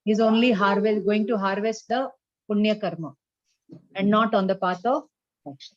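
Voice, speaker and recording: A woman with an Indian accent giving a spiritual class, explaining deliberately and checking that listeners follow. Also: mid-range voice, dry recording, dull audio. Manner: didactic, deliberate, checks understanding with questions. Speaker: religious teacher.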